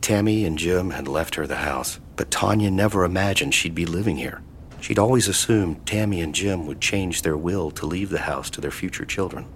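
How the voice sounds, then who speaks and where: A man narrating a scripted horror audio drama in a measured, solemn way. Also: sits low, slight background noise. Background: music.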